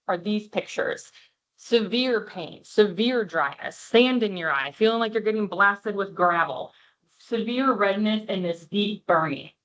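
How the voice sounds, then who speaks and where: A woman eye doctor giving a passionate health presentation to an audience, with a big voice, speaking away from the microphone. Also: mid-range voice. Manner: warm, passionate, clear explaining. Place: room with a live audience, talking away from the mic. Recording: dull lo-fi room recording.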